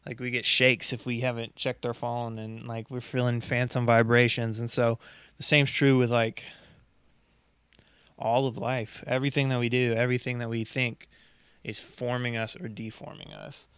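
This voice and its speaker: A man with a steady, level pitch co-hosts a casual podcast and explains his ideas earnestly, leaning on a lot of filler words. His voice is clear and smooth. The sound is dry and close to the mic. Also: normal speed, no echo. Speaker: podcast co-host.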